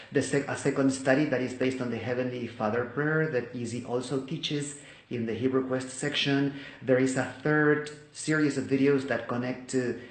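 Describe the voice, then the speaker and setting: A man whose first language is Spanish, talking casually to the camera about his Bible study videos, halting, with many fillers and restarts. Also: mid-range voice. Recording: echoey room.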